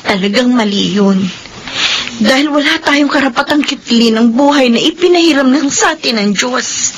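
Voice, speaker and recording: An actress playing a young, compassionate and caring sister in an earnest radio drama style. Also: female voice, high-pitched, normal speed, a little hiss or hum, close to an everyday voice, low-quality recording. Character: gentle, compassionate grown sister, pious and caring.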